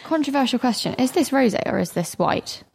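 Young woman, quick talker, gravelly edge, gushing and teasing, casual podcast chat. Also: high voice, lively intonation. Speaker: podcast co-host.

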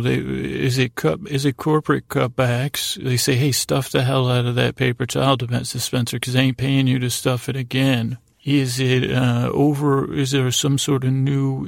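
A man delivers a rambling bedtime monologue as a podcast host. His voice is gravelly and stays quite monotone, recorded very dry. He halts, circles back and wanders off on tangents. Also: mid-range voice, no room sound. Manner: meandering, halting, easily distracted. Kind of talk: rambling bedtime monologue to help listeners fall asleep.

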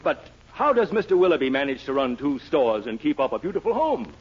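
A man's voice: a little high, sing-song, fairly quick. An actor playing a gullible, upright businessman in a 1940s radio comedy, emphatic and stagey in his disbelief. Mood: intrigued. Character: gullible, upright businessman, easily shocked and righteous. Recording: dull vintage radio recording, audible noise.

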